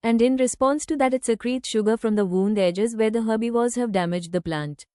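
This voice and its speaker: A woman reading academic passages aloud in a measured, careful way. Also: a little high, dark tone, dry recording, studio quality.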